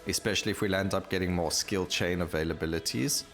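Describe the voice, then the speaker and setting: A man's voice: low voice, fairly even intonation, fast talker, a bit bright. A man giving casual gameplay commentary, thinking aloud and rambling as he plays, with game music behind him. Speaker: gaming video host.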